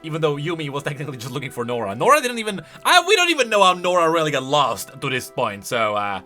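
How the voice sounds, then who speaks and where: A man giving casual reaction commentary as a video host, enthusiastic and halting as he thinks aloud. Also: on the high side, wildly swooping pitch, bright, forceful tone, soft background music.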